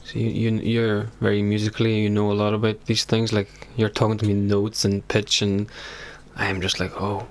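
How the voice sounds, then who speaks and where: A man's voice: on the low side, completely flat delivery, normal speed. A man, a guest chatting casually and filling his talk with "like". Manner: chatty, lots of "like" fillers. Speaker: podcast guest. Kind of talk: casual chat.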